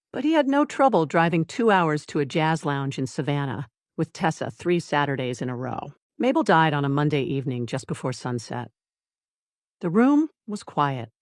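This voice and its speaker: A narrator reading a scripted dramatic story in measured, deliberate short phrases with pauses for effect. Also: mid-range voice, no echo, clean studio sound.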